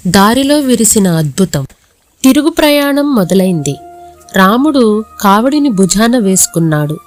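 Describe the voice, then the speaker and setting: A woman's voice: mid-range voice, big pitch swings, a little slow, darkish tone, soft background music. A woman reading scripted narration for a moral story as its storyteller.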